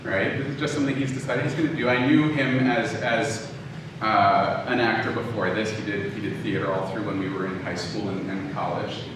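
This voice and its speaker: A man's voice: lowish voice, flat delivery, normal speed. A man giving an informal lecture in a conversational, emphatic way, telling a personal story and checking in with his listeners. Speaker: audio drama producer giving a lecture. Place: live talk in a reverberant room. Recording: echoey room from far off, clear noise.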